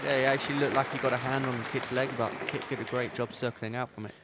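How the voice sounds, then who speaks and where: A man's voice: medium pitch, steady tone, fast, very noisy. A male commentator reacting to a grappling match in a conversational way. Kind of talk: live sports commentary. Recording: loud crowd and music behind.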